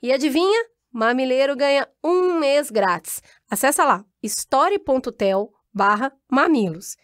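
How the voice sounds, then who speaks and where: Upbeat woman podcast co-host, higher voice. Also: animated, measured pace, fairly bright tone, no room sound, clean studio sound. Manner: cheerful, chatty, exclamatory. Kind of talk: casual chat, reading listener messages.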